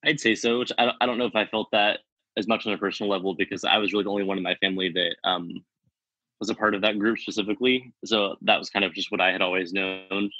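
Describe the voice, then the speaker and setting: A man speaking as an interview guest, measured and full of hedging fillers. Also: low voice, pushed and bright, no echo, dull audio. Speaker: guest, former church member. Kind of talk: casual interview.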